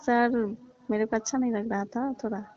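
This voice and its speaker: Woman playing a coy, high-voiced student on phone. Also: fairly even intonation, moderate pace, darkish tone, lightly acted. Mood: defensive, placating. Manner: hesitant, coy, pleading. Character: coy, bashful young female coaching student, evasive, placating. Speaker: performer in a comic prank-style phone call skit. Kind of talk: casual phone conversation skit. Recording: muffled lo-fi phone call recording.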